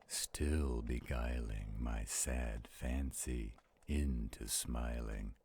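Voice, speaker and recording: A man voicing a theatrical, flirtatious werewolf storyteller in an audio roleplay, with a gravelly, husky voice. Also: a bass voice, warm tone, full character voice. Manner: slow, dramatic, playful. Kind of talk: audio roleplay acting with dramatic poem recitation.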